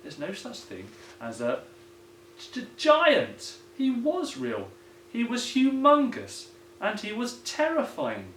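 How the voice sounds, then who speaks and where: A sceptical young storybook boy, as a male reader plays him, weary of tall tales and quick to scoff. The delivery swings up and down in pitch. The room rings a little, with some noise behind. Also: huge pitch swings, a bit bright, full character voice. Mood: frightened. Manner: sighing, scoffing. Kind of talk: children's picture book read-aloud. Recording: roomy echo, some background noise.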